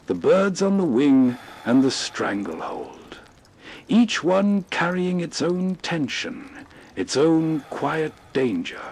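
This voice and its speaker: A man narrates as a late-night radio host, slowly and deliberately. His voice is a little high for a man and rises and falls expressively in reflective scripted lines. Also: darkish tone. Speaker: host of old-time radio show.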